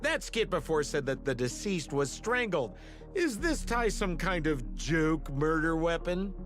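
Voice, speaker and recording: A man's voice: on the high side, sing-song, measured pace, a bit bright, faint music behind. A man voicing a sardonic, skeptical character in a video game. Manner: sarcastic, skeptical. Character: sardonic laid-back man helping investigate.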